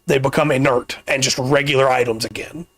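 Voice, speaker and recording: A man hosting a casual podcast, explaining things at length with pauses and restarts, his voice getting worn. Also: medium pitch, steady tone, fairly bright tone, dry recording. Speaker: podcast host.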